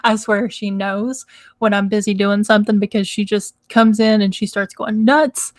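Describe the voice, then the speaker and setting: A woman hosting a casual live stream, chatty and rambling, with a hoarse, crackly, nasal voice from congestion. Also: mid-range voice, steady tone, moderate pace. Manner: chatty, rambling, laughs often. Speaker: host. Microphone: microphone.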